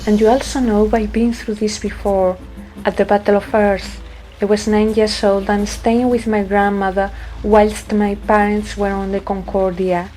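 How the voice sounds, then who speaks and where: A woman acting in a sci-fi audio drama as a resolute, stubborn pregnant pilot, speaking calmly and firmly. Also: mid-range voice, faint music behind, lightly acted, rough recording. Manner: deliberate, firm.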